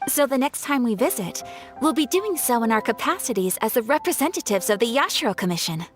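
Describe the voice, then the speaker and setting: A woman's voice: on the high side, very animated, fairly quick, faint music behind. A woman playing a refined, courteous young noblewoman in a video game, speaking formally and politely. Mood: composed, pleased.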